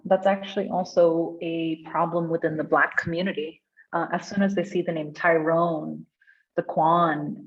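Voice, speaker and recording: A woman from India with an Indian accent, speaking conversationally and earnestly as she answers audience questions, with expressive, laughter-prone delivery. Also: lowish voice. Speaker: guest speaker at a design meetup. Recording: dull, lo-fi online call audio.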